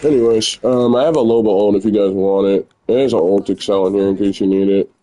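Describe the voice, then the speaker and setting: Loud-voiced young man rambling over gameplay. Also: fairly low pitch, fairly even intonation, brisk, rough recording. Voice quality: naturally loud voice. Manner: rambling, candid, filler-heavy. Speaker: gamer streamer. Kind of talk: casual gameplay commentary.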